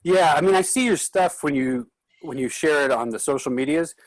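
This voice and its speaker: A man's voice: a little high, fairly quick, dry recording, low-quality recording. Dry-sounding man in casual chat. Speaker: actor, colleague giving feedback.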